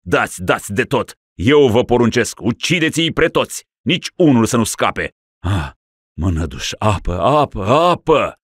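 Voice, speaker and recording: A man voicing a hoarse raving dying prince. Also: on the low side, big pitch swings, dry recording, clean studio sound, voice pushed up. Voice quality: hoarse, shouting. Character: dying deposed male prince, raving, furious, vengeful. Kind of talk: audiobook reading of a classic story.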